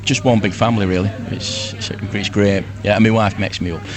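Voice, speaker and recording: Low-voiced, chatty older Lancashire man. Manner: chatty, effusive, repeats himself. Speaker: interview guest, amateur pantomime performer. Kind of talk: casual live radio interview.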